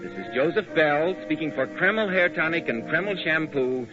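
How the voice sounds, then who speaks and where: A male radio announcer delivering a 1940s commercial in a smooth, persuasive pitchman's way. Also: animated, normal speed, music in the background. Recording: dull lo-fi 1940s radio broadcast.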